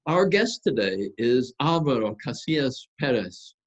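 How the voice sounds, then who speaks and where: A man introducing a guest speaker as host of an academic seminar, speaking semi-formally in a halting way with rephrasing. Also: medium pitch, big pitch swings, dull audio.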